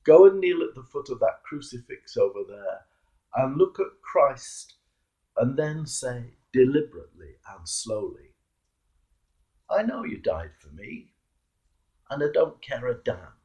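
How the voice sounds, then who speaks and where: A man voicing a stern old priest in a sermon story, giving deliberate, commanding instructions. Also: medium pitch, big pitch swings, mellow. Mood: solemn command.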